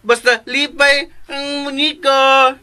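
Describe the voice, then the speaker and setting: A man playing a childlike, simple-minded man in a radio drama, with halting, repetitive speech and a Filipino accent. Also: very high-pitched, flat delivery, bright, forceful tone, full character voice. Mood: childlike, happy. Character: childlike, simple-minded man devoted to his living doll. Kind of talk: Cebuano radio drama acting.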